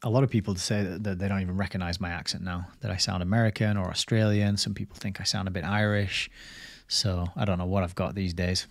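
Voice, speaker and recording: A man's voice: low voice, fairly even intonation, fast, vocal fry, no room sound. Chatty English man, dry close mic. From England. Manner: rambling, chatty, laughs often. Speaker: livestream host reading a book. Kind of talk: casual livestream chat with viewers. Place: home study lined with bookshelves. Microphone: studio mic on boom arm.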